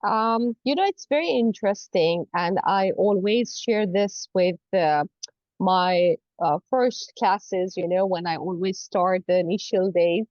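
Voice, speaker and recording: A woman's voice: medium pitch, unhurried, darkish tone, no echo, dull audio. A woman from Saudi Arabia who speaks English as an additional language, answering conversationally as an interview guest and often saying "you know" as she explains earnestly. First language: not English. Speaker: guest, university lecturer in academic English.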